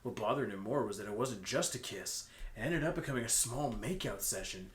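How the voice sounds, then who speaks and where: A man's voice: on the low side, lively intonation, fairly quick. A man reading a story aloud as an amateur, breaking off for casual joking asides. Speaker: co-host reading fan fiction aloud. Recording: roomy, echoing room sound.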